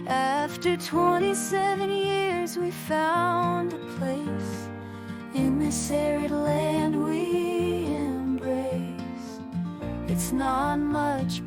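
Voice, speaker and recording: A woman singing a slow, hopeful ballad. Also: sits high. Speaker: singer. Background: guitar music.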